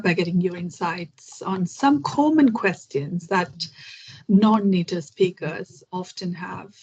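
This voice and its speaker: A woman's voice: medium pitch, a little mellow, breathy edge, highs cut off. A woman from Kerala, hosting an interview in a polite, hesitant way with many fillers. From Kerala, India. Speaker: host. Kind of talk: conversational interview for learners.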